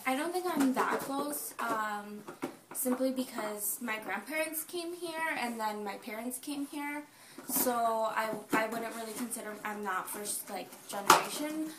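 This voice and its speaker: A woman's voice: on the high side, a little slow, reverberant, distant mic, very noisy. A young woman answering an interview casually and hesitantly, with many ums.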